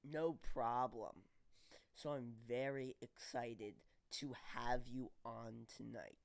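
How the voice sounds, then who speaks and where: A man's voice: medium pitch, fairly even intonation, takes it slowly, gravelly. A man hosting a livestream interview, congested from a cold, upbeat and asking curious questions in casual chat. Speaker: livestream host. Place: home streaming studio.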